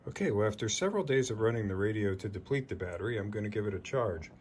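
A man's voice: lowish voice, fast talker. A man narrating a hands-on project in a casual, explanatory, lightly wry conversational way. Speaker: host of an electronics hobby channel. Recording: clear steady background noise.

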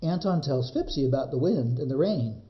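A man reads a children's story aloud like a librarian at story time. His pitch moves up and down a lot, with dramatic, measured phrasing. The sound is a little muffled. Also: lively intonation. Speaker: librarian reading a children's book. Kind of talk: expressive storybook narration.

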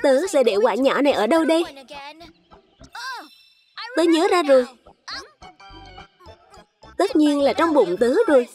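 A woman voicing excitable, childlike little fruit schoolchildren in a cartoon dub. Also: high voice, unhurried, long pauses, soft background music. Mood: chatty.

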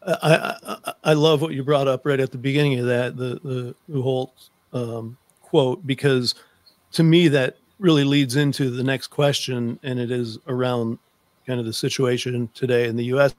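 A man's voice: medium pitch, steady tone, normal speed, dry recording. A middle-aged man with an American accent, hosting a conversational interview into a close desk microphone. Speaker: host. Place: book-lined room indoors, on a video call.

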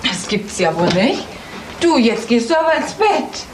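A woman's voice: a little high, big pitch swings, measured pace. An actress playing a fussy, prim and insistent mother and hostess in a radio play. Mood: scolding. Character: fussy, polite hostess mother, scolding her small son. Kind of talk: German radio play dialogue. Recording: noisy recording with room ambience.

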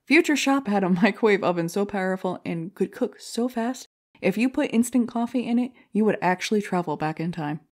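Casting: woman as brash stand-up comedienne for audio drama, medium pitch, self-mocking, punchline-driven. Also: lively intonation, a little fast, natural voice. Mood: chatty, incredulous. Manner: jokey, rhetorical, punchline-driven delivery. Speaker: comedy contest contestant.

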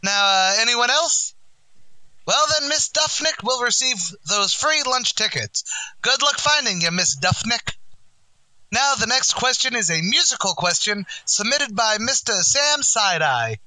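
Man playing a high-pitched, brash tavern manager. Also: expressive, measured pace, pushed and bright, dry recording, full character voice, rough recording. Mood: wry, blustering. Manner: mock-grand quizmaster, malapropisms. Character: wisecracking, blustering, uneducated male tavern manager. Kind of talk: old-time radio comedy acting.

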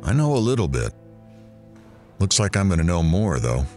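A man's voice: low-pitched, big pitch swings, normal speed, a little mellow, faint music behind. A man playing a weary, wry investigator in an audio drama, sardonic and brooding. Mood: subdued. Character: weary, wry male investigator haunted by doubt. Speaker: lead character of an audio drama.

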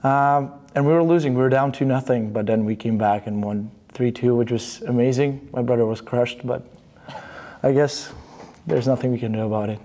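A young man from Bulgaria speaks in a mellow, warm voice, pausing with fillers as he answers questions in a studio. His pitch sits in a middling range. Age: young adult. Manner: relaxed, halting with fillers and restarts. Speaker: student athlete interviewee. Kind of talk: casual interview answers. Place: studio in front of a banner.

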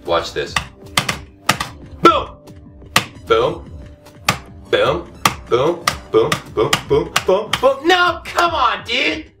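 A hoarse man in his thirties gives brash, self-hyping game commentary, his pitch leaping wildly from grumbling lows to yelling highs. He sounds a little away from the mic in a room at home. Loud game music plays behind him. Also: sing-song, drawn-out words, pauses often, far from the mic. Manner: cocky, self-hyping, swings from mutters to shouting outbursts. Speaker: comedy gaming host. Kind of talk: over-the-top comedic game commentary.

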